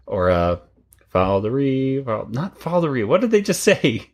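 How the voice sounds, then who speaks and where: A man's voice: medium pitch, very animated, measured pace, a little mellow. A man co-hosting a podcast about a cartoon, talking casually and rambling a bit with restarts and laughs. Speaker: podcast co-host. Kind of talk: casual chat.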